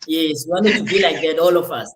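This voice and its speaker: A South African voice in their forties, a native isiXhosa speaker, telling their own story in a measured, unhurried way with many small pauses. The pitch sits in the middle. The voice sounds roomy and echoey, as if recorded in a bare space. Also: noisy background. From South Africa, Eastern Cape. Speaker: university lecturer, guest. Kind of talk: personal storytelling interview. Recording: roomy echo.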